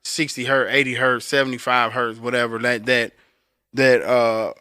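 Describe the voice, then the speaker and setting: Bright, crisp male podcast co-host. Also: little pitch movement, normal speed, dry recording, clean studio sound. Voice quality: deep voice. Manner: conversational explainer, addressing listeners directly. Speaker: podcast co-host and recording engineer. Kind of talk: casual instructional talk.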